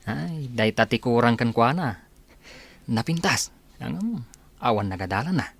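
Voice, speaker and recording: A man's voice: medium pitch, lively intonation, moderate pace, creaky, breathy edge. A man playing a gossipy, chatty mansion worker in an Ilocano radio comedy drama. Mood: gossipy, amused.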